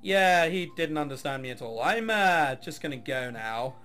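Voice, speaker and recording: Male let's player, high lively voice, mock-exasperated commentary with faint music underneath. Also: normal speed. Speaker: gamer reading and commenting on a visual novel. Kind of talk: let's play narration with sarcastic asides.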